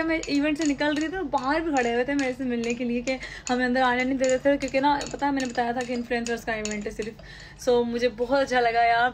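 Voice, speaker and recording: A woman's voice: high-pitched, slight background noise. A young woman talking to her phone camera in a casual vlog, chatty and laughing often as she thanks her followers. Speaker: influencer vlogger. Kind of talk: casual selfie vlog chat. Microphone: phone held at arm's length.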